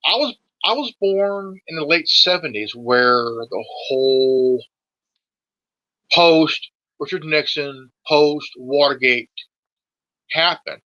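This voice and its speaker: A man in his forties talks politics casually in a forceful, slightly high voice. He is emphatic and salty, often repeating words as he gets to his point. The sound is dry and a little dull. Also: lively intonation, takes it slowly, no room sound. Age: 40s.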